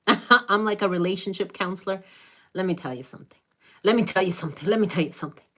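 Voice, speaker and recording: A woman's voice: medium pitch, quick pace, a bit bright. A woman with a Spanish accent, Spanish her first language, giving blunt, direct, motherly advice to viewers on a live stream.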